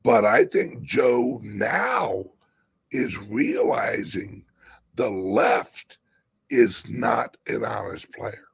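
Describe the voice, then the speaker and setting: Raspy, gravelly male host, slow and rambling, lively pitch swings. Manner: emphatic, rambling with restarts. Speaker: crypto show host. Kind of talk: casual political chat.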